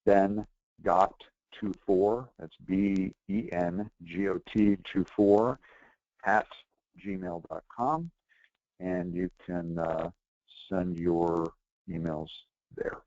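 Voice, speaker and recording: A man with a very low voice leads a conference call. He speaks haltingly and informally. The line is dull and dry, with little room sound. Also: fairly even intonation, a little mellow, dry recording. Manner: hesitant, halting.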